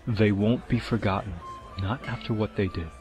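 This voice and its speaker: A man playing a calm hired leader of a fantasy crew, speaking in a measured, serious manner in an audio drama. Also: fairly low pitch, music in the background, close to an everyday voice.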